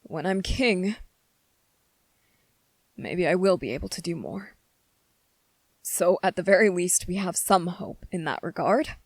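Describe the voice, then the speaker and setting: A woman's voice: lowish voice, animated, a little slow, pauses often, dry recording. A woman playing an anxious, earnest young prince in an ASMR fantasy roleplay, speaking carefully and formally with hesitant pleading. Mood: pleading, guilty.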